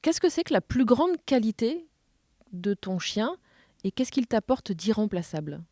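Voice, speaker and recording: Mellow woman interviewing. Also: a little high, very animated, a little slow, dry recording, clean studio sound. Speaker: podcast host, interviewer. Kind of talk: composed interviewing.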